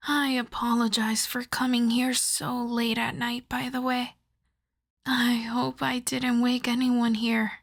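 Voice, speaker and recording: Woman acting a slow, soft-spoken vampire lady. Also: fairly high pitch. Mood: polite, softly coy. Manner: soft-spoken, courteous, measured, often breathy. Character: polite, coyly obsessive female vampire lab technician. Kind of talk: ASMR roleplay, addressing the listener.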